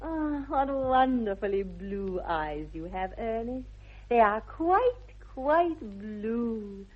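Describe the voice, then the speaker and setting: Casting: young English society lady, gushing yet precise, upper-class accent, high and very melodic, vintage radio comedy. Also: animated, takes it slowly. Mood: coy, adoring. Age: young adult. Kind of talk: 1960s BBC radio comedy acting. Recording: dull old radio recording.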